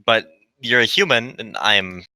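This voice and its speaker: A man's voice: on the low side, a little slow, sharp tone, no room sound, exaggerated voice. A man voicing an earnest, polite female AI hologram who serves humans in a visual novel. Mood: puzzled, timid.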